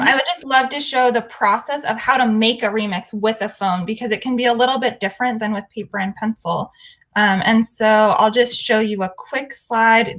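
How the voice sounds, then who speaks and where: A woman's voice: on the high side, fairly bright tone, poor audio. A woman presenting in an online workshop, explaining steps in a casual instructional style. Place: online video session.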